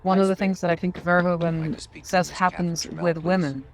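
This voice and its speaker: A woman giving reflective film essay commentary in halting, measured phrases. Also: fairly low pitch, flat delivery. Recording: music under the voice. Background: music.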